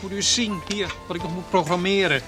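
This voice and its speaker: An actor playing a stubborn young farmer's son in a radio drama, defiant but hesitant. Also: male voice, high-pitched, fairly even intonation, fast talker, loud music behind the voice, close to an everyday voice. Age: young adult.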